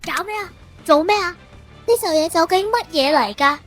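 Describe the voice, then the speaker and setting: Woman as a boastful, high-voiced germ villain. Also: lively intonation, normal speed, fairly bright tone, soft background music. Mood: indignant. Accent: Hong Kong Cantonese. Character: boastful villainous pneumococcus germ monster, easily panicked.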